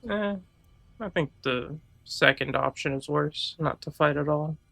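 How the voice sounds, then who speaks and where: Slow, creaky-voiced casual male gamer. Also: a little high, pushed and bright. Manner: sweary, offhand. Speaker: gaming streamer reacting to a game. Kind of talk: casual reactive commentary. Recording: faint game music behind voice. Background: game music.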